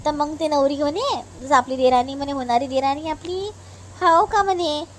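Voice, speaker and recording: A woman's voice: sits high, moderate pace, slight background noise, close to an everyday voice. A woman voicing an agreeable eldest daughter-in-law in a family cartoon, chatting in colloquial Varhadi Marathi with a gossipy manner. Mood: chatty, pleased. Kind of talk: cartoon family drama dialogue.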